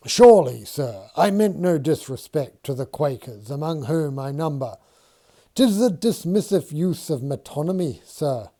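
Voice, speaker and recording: A man voicing an earnest, easily startled Quaker surveyor who speaks in archaic thee-and-thou English, loud and agitated. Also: a little high, sing-song, a little slow, heavily acted.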